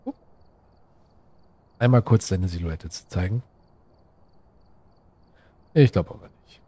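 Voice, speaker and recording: A man's voice: low-pitched, animated, slow pace, dark tone, no echo. A man giving casual let's play commentary, thinking aloud to himself in short, musing phrases. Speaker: gaming streamer playing solo.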